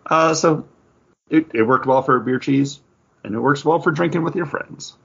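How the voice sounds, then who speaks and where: A man's voice: a little high, moderate pace, vocal fry, slightly rough, muffled recording. A man co-hosting a podcast in a casual, rambling, conversational way. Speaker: podcast co-host. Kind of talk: casual chat.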